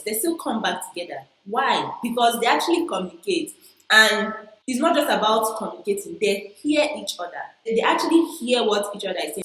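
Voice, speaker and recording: A woman's voice: mid-range voice, a bit bright, echoey room, rough recording. A woman with a strong Igbo Nigerian accent, chatting playfully to camera as a vlogger and repeating herself for emphasis. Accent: Igbo-accented Nigerian English. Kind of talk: casual chat to camera.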